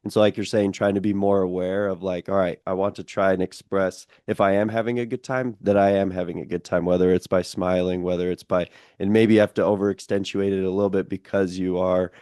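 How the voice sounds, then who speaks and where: A young man hosting a relaxed interview, his voice deep and notably level, self-described as monotone. The tone is mellow and dark. It sits dry and close to the mic, with many casual fillers. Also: a little fast, hardly any pauses, clean tone, no echo. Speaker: podcast host.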